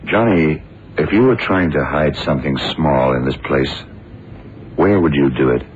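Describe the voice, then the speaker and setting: An actor in a 1970s radio drama playing a shrewd, brooding detective who talks in deliberate, clipped phrases. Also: male voice, extremely low pitch, background noise. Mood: quietly intent. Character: shrewd, brooding male police detective in charge. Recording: muffled old radio broadcast, background din.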